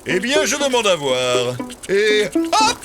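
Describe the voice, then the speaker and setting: A man's voice: high voice, huge pitch swings, takes it slowly, heavily acted. A man voicing a proud, boastful eagle in a children's cartoon, with a pompous, mocking manner. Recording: music behind the voice.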